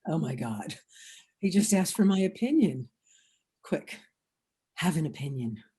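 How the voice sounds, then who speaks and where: A woman's voice: deep voice, expressive, mellow, slightly rough, exaggerated voice. A woman playing a wry, overthinking single woman. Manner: wry, overthinking, quick asides. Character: witty anxious single woman at a gallery. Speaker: actor in a one-act play. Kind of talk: stage comedy inner monologue. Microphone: video call.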